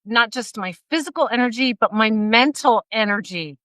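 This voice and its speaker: A woman in her fifties hosting a casual interview over a video call, energetic and laughing often. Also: a little high, moderate pace, no echo, clean studio sound. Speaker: podcast host. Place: bright room at home, indoors. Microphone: video call, laptop mic.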